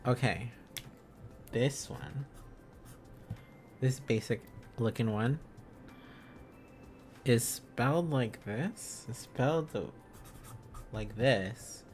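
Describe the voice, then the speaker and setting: A man's voice: mid-range voice, very slow, long pauses, darkish tone, soft background music. A man hosting a casual livestream, thinking aloud as he studies, in a rambling, silly, exclaiming manner. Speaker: livestream host.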